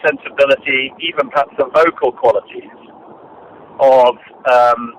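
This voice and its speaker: An older man, a director answering interview questions in a measured, hesitant way with many fillers and restarts. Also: mid-range voice, steady tone. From Britain. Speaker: film and radio drama director, guest. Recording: dull lo-fi archive recording, faint noise.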